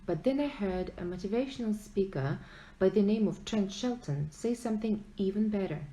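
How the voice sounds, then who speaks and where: A woman reads aloud from a self-help book. Her voice is soft-edged and mellow at an ordinary pitch, and she delivers the text conversationally, with clear emphasis on key words. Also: rough recording. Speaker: book reader at a school read-aloud event.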